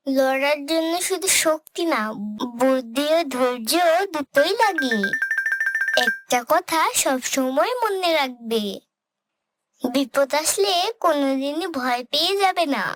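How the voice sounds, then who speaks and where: Woman playing a clever high-voiced mother goat. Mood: wise, reassuring. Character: clever, calm mother goat who outwits predators. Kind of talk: children's cartoon story dialogue.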